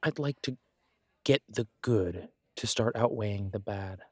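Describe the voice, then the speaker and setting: A man's voice: lowish voice, darkish tone, breathy edge, dry recording, clean studio sound. A man playing a supportive, self-deprecating best friend in a conversational roleplay audio, talking in a reassuring, reflective way. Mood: candid. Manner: reassuring, chatty, reflective pauses. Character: warm, encouraging male best friend, self-deprecating, gently earnest.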